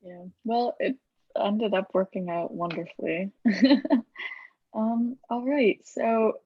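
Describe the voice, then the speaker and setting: A woman's voice: medium pitch, slow, husky, no echo, lo-fi sound. A woman moderating a casual question-and-answer session in an encouraging, polite way. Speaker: moderator of a post-show discussion. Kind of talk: casual hosted Q&A.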